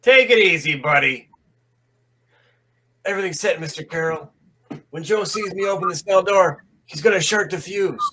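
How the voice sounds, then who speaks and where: A man's voice: high-pitched, normal speed, long pauses, noisy background, close to an everyday voice. A man playing a nervous, crooked jail guard with slangy tough talk in a 1940s radio drama. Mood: conspiratorial.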